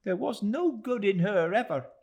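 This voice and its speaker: A man voicing an emotional, indignant but soft-hearted young married townswoman in a classic novel, with drawn-out exclaiming. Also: high-pitched, lively intonation, creaky, husky, pitched above the performer's own voice, exaggerated voice. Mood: angry, scornful. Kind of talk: classic novel audiobook character.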